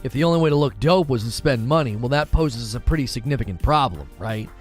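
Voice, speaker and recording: A man in his forties hosting a casual gaming livestream, musing aloud in a relaxed, conversational way as he answers chat. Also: medium pitch, quick pace, soft background music. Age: 40s. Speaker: gaming channel host reading live chat.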